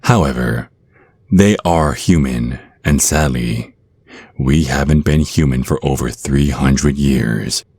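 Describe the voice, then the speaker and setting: Extremely deep, husky vampire naga. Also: male voice, a little mellow, dry recording, exaggerated voice. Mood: scornful, threatening. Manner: menacing, drawn-out, mock-sweet. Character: menacing centuries-old vampire naga, the tougher younger brother. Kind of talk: ASMR roleplay acting.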